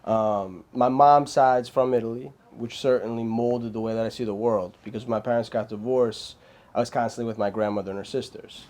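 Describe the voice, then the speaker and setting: A man, a filmmaker guest in a casual interview, speaking in an animated, rambling way with frequent restarts. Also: medium pitch, brisk.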